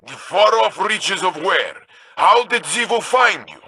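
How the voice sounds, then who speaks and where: An eccentric, philosophical wanderer in a video game. He muses slowly and haltingly, swinging widely in pitch. The voice is husky and theatrical, as if every thought is a riddle. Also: sing-song, pushed and bright, exaggerated voice. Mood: musing.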